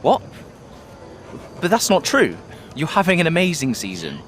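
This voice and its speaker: A man's voice: a little high, wildly swooping pitch, normal speed, fairly bright tone, faint music behind. An actor playing an earnest young male racing driver in a game cutscene, speaking plainly and sincerely. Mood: defensive.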